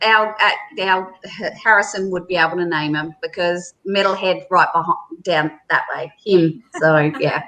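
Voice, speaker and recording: A woman's voice: on the low side, steady tone, moderate pace, few pauses, pushed and bright, low-quality recording. An Australian woman on a group video chat, talking in a loose, rambling way with many fillers. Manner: rambling, full of fillers and restarts. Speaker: homesteader guest.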